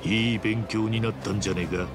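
A man voicing an easygoing but fearless Japanese tough traveller in a video game cutscene, with casual, rough street speech. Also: lowish voice, steady tone, quick pace, over music, close to an everyday voice. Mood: calm, defiant.

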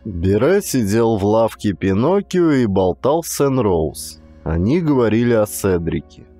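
A man's voice: on the low side, big pitch swings, a little slow, mellow, no echo, faint music behind. A man giving dramatic scripted narration as an audiobook narrator.

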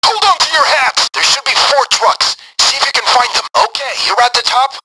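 Bossy heist planner guy on the radio, really sharp forceful voice, game orders, bit of static. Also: very high-pitched, lively intonation, fairly quick, full character voice, rough recording. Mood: urgent, commanding. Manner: urgent, clipped commands. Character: brisk, commanding male heist planner directing a gang. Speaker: mission handler giving orders over radio. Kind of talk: video game mission dialogue.

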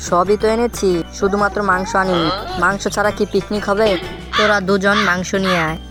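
A woman's voice: mid-range voice, brisk, close to an everyday voice. A woman voicing a greedy, scheming male fox in a cartoon who loves parties and bosses the others around. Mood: bossy. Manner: excitable, bossy. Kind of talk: cartoon voice acting. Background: background music.

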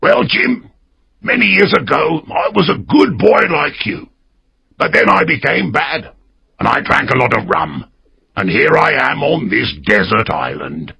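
A man voicing an excitable, half-crazed old castaway marooned for years, high and pleading, his voice breaking. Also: measured pace, vocal fry, breathy edge, full character voice. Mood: excited pleading. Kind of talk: audiobook character reading.

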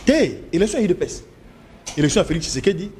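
A man's voice: very animated, fast talker, darkish tone, a little hiss or hum. A middle-aged man with a Congolese French accent, debating emphatically in a TV studio at a desk mic, mixing French and Lingala. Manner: emphatic, gesturing, swinging pitch, switching between French and Lingala. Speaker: political debate guest. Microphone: desk microphone.

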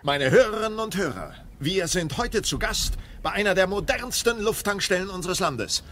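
Field reporter: high, bright, very animated, excitable, some background ambience. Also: male voice, normal speed, a little hiss or hum. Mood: enthusiastic. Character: excitable, impressed male radio reporter. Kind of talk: on-location radio report, acted.

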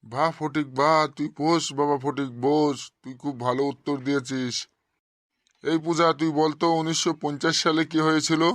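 A man's voice: fairly high pitch, fairly even intonation, normal speed, a bit bright, no room sound, studio quality, close to an everyday voice. A man voicing a short-tempered middle-aged male schoolteacher in a comic cartoon, blunt and sarcastic with his pupils. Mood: pleased, praising, then quizzing. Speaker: cartoon voice actor.

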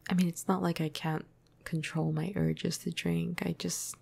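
A woman playing a flirty, caring vampire crush in an intimate ASMR roleplay, speaking softly and breathily into a close microphone with casual hesitations. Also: flat delivery, normal speed, no room sound, full character voice. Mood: caring, playful. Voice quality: breathy, soft, husky, low. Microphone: close microphone, dry.

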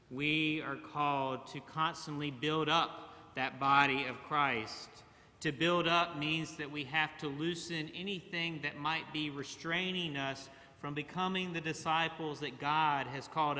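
Slow, bright-voiced preacher in a reverberant church. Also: male voice, fairly high pitch. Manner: slow, measured preaching with long pauses. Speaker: pastor. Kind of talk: sermon. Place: large church sanctuary, at a pulpit. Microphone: pulpit mic, far camera.

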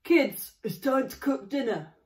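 A woman doing a gruff northern farmer dad. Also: medium pitch, very animated, moderate pace, lightly acted. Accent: northern English. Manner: grumbling, loud and hearty. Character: gruff limping middle-aged farmer father.

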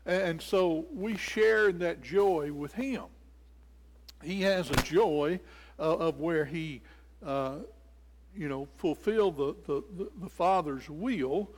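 An older man preaching an expository sermon, explaining scripture and repeating phrases for emphasis. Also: on the high side. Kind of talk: sermon, expository Bible teaching. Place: indoors in a church sanctuary at a lectern. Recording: reverberant church hall.

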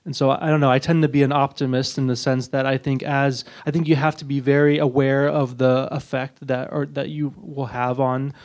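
A man's voice: medium pitch, little pitch movement, a little fast, few pauses, a little mellow. A man speaking conversationally as a panelist, with thoughtful, tentative phrasing, into a handheld microphone. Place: indoor auditorium panel before an audience.

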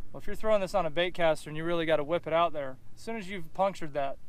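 Relaxed man explaining fishing gear outdoors. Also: fairly high pitch, clean tone. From Central Florida. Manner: relaxed, matter-of-fact, thinks aloud while rigging. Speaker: fishing instructor. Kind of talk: casual how-to demonstration. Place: outdoors on a boat on a lake.

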